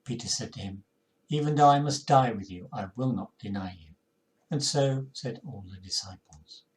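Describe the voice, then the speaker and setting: An older man reading scripture aloud in a measured, solemn manner. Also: fairly low pitch, poor audio. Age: older, 60s or 70s. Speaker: congregation member reading scripture. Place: living room at home, indoors.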